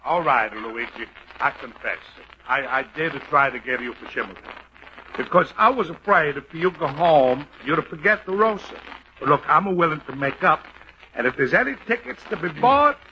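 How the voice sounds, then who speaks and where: A man's voice: fairly high pitch, expressive, moderate pace. An actor playing a scheming, boastful immigrant man in a radio comedy, with a wheedling, theatrical manner. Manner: wheedling, theatrical, swings from loud to hushed. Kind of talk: 1940s radio acting. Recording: dull old radio broadcast with clear hiss.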